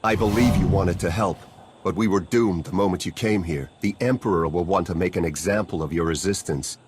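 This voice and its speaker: An actor playing a principled, fearful civilian in a video game, husky, earnest and solemn. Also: male voice, sits low, fairly quick, background noise, lightly acted. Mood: solemn, resigned. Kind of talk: scripted video game drama acting.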